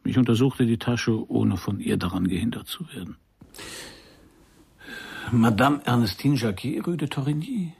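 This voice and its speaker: A man's voice: mid-range voice, normal speed, gravelly, pauses often, darkish tone, breathy edge. An actor playing a middle-aged private detective in a noir radio play, narrating his case in a dry, laconic, sardonic way. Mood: cool, probing. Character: hard-boiled male private detective narrating his case, wry and probing.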